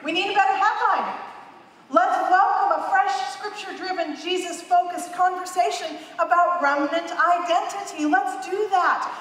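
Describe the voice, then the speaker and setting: Brief: woman pastor in her fifties, high voice, emphatic sermon, long hall reverb, heard off-mic. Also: fairly bright tone, a little hiss or hum. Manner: emphatic, repeats phrases for emphasis, gestures widely. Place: large dark church stage. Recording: echoey hall, heard from a distance.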